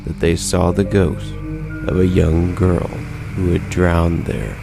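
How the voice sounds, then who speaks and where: Deep-voiced man narrating ghost stories. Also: flat delivery, slow, creaky, music in the background. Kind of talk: scripted storytelling narration.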